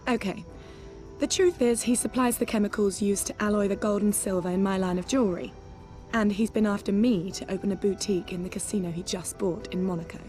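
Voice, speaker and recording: A woman's voice: medium pitch, fairly quick, warm tone, lightly acted. A woman acting a flirtatious socialite secret agent in a video game, playful, arch and self-assured. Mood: gossipy, breezy. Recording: music behind the dialogue.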